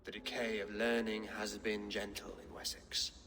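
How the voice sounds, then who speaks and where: A man's voice: lowish voice, little pitch movement, a bit bright, slight background noise. Learned Saxon king, echoing. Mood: weary reflection. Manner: formal, measured, scholarly. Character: learned, pious Saxon king, weary and eloquent. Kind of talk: video game cutscene acting.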